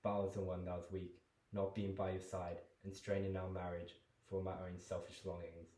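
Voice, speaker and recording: A man's voice: low-pitched, little pitch movement, normal speed, close to an everyday voice. A young man performing a guilt-ridden condemned man in a jail cell, solemn and pleading. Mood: remorseful. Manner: solemn, builds to furious shouting. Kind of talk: dramatic monologue performance. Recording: roomy echo.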